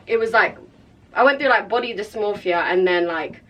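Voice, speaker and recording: A woman's voice: mid-range voice, normal speed, dull audio. A young woman from the Philippines, chatting casually as a podcast guest, full of slang and fillers. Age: young adult. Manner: slang-heavy, filler-laden, laughs often. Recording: distant mic, faint background noise.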